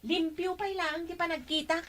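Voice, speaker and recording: A woman's voice: very high voice, steady tone, normal speed, background noise. A woman playing a touchy, indignant young woman in a comedy radio drama, curt and scolding.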